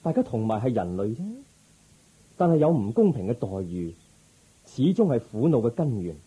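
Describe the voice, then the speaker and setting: A man playing a calm, resolute young prince turned monk in a radio drama, speaking in a measured, gentle, formal way. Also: mid-range voice, slightly rough, low-quality recording. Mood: earnest. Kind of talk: Cantonese Buddhist radio drama acting.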